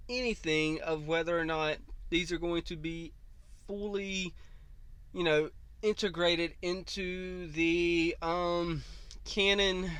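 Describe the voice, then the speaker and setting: A man's voice: sits high, a bit bright, smooth, no echo. A man giving casual reaction commentary as a video host, musing and hesitant, trailing off.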